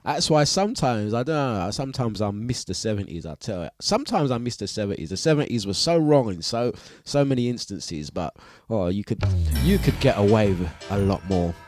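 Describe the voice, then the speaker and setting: A man with an East London accent hosting a radio breakfast show, giving casual shout-outs and jokey, self-mocking banter, laughing often. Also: lowish voice, expressive, brisk, dry recording, studio quality. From England. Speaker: radio DJ host. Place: radio studio. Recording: music playing under the voice. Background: music bed.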